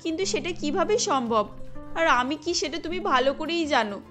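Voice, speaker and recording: A woman's voice: high-pitched, expressive, normal speed, fairly bright tone. A woman voicing a sad, hesitant young hijra in a cartoon story, plaintive and questioning, over music. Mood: reluctant, troubled. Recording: music behind the voice.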